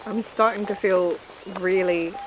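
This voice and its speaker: A woman's voice: mid-range voice, fairly even intonation, measured pace, noisy background, natural voice. A woman playing a cool, pragmatic visiting operative in a sci-fi horror audio drama, dry and understated. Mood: uneasy curiosity.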